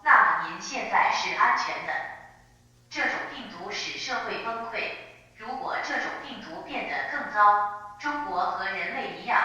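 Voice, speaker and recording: A woman's voice: on the high side, bright, forceful tone. A woman reads a scripted emergency alert as a broadcast announcer, formal and impersonal. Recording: dull, heavily echoing broadcast sound.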